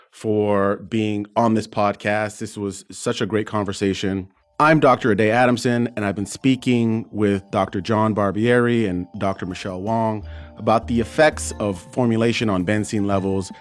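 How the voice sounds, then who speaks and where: A man hosting an interview podcast, speaking conversationally to his guests. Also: on the low side. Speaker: podcast host, doctor.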